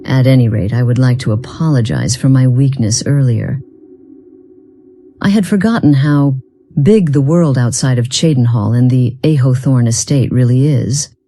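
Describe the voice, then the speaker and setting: A woman voicing a weary, once-proud companion in a fantasy game, speaking in a formal, measured and reflective way. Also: extremely low pitch, mellow. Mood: apologetic, reflective. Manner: formal, measured, solemn wording. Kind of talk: scripted dialogue for a fantasy game mod.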